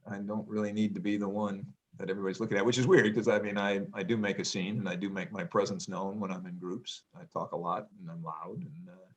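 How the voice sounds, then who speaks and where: A middle-aged man musing in a casual family podcast chat, pausing often with ums and restarts and talking in a loud voice. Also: on the low side, fairly even intonation, rough recording. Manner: hesitant, many ums and restarts, reflective; says he has a loud voice. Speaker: podcast co-host, parent.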